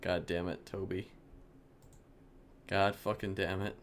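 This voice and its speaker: A man's voice: low voice, level tone, slow, a little hiss or hum. A man who streams games, chatting casually to his viewers, rambling as he thinks aloud and swearing. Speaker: live game streamer.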